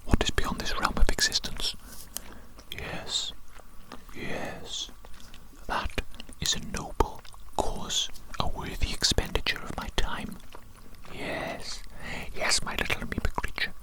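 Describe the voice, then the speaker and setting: An ASMR performer playing an evil mad-scientist doctor who muses slowly and dotingly in a breathy whisper, repeating phrases softly. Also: close to an everyday voice, poor audio. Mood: pleased, scheming. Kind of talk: whispered ASMR roleplay monologue.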